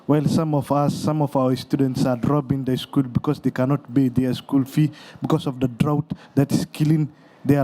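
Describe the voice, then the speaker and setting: A man's voice: mid-range voice, mellow. A man from Somalia in a conference audience, earnestly asking about access to funding. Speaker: audience member asking a question. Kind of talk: audience question. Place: conference pavilion.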